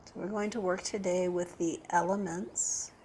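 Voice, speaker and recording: A woman leading a guided meditation as a yoga teacher, speaking gently and unhurriedly with pauses between phrases. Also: lowish voice, steady tone, slight background noise.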